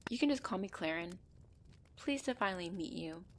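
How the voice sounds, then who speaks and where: A woman's voice: lowish voice, expressive, a little fast, a little hiss or hum, lightly acted. A woman voicing a possessive female centaur in an ASMR roleplay, bantering and commanding, with dry exasperation. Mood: firm, conversational. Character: possessive female centaur, bossy, teasing, half-gentle captor.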